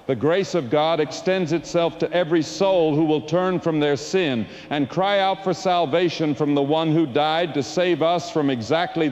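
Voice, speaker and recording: A man's voice: a little high, muffled recording, natural voice. A man playing a fervent, solemn doomsday preacher, delivering a grandiose apocalyptic sermon in an audio drama. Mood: urgent, pleading. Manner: grandiose, solemn scriptural oratory.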